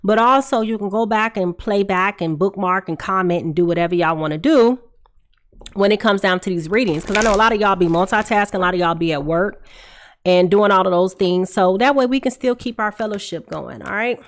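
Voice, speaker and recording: A woman's voice: medium pitch, a little fast, slight background noise. Congested woman chatting on a stream. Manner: chatty, confiding, calls out to viewers. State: congested from a cold. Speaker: tarot reader hosting a livestream. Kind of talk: casual livestream chat. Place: home room indoors. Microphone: desk microphone in front of her.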